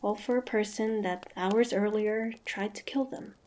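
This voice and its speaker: A woman playing a wary, sharp-tongued young demon hunter with a prickly but grudgingly softening manner, in a fantasy roleplay audio drama. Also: mid-range voice, fairly even intonation, unhurried, slight background noise, lightly acted. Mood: grudgingly trusting. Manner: guarded, sharp-tongued, tsundere.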